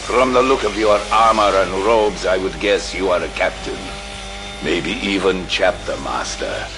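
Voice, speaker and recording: A man acting a hulking, menacing, sardonic superhuman berserker warrior in an audio drama, gravelly and taunting. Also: deep voice, measured pace, music in the background. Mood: taunting menace.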